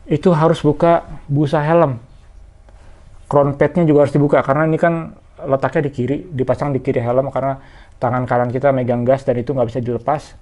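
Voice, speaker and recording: A man's voice: mid-range voice, level tone, brisk, darkish tone. A man talks viewers through a casual product review in a conversational, explanatory way. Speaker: motorcycle gear reviewer.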